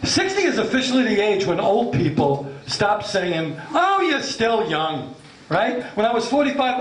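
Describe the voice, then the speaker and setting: A man in his late fifties with a New York accent doing a stand-up comedy set, brash and self-mocking, swearing freely and acting out the voices he quotes. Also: extremely high pitch. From Long Island, New York. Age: 50s. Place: comedy club stage before a laughing audience. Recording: echoey club room, loud background noise.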